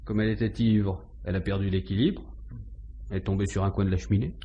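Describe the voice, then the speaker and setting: Low, dark-voiced male actor as a police inspector, firm and probing, vintage French radio crime drama. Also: level tone, fast, muffled recording, natural voice. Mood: confiding, deliberate. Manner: insistent, probing, authoritative questioning. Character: methodical, firm police inspector interrogating a suspect. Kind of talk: 1950s French radio mystery drama acting.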